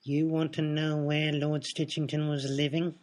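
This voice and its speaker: An actor playing a calm, cagey criminal who speaks matter-of-factly in a comedic radio play. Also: male voice, a little high, normal speed, natural voice. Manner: unruffled, matter-of-fact, cagey. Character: calm, cagey mystery man confessing to murder.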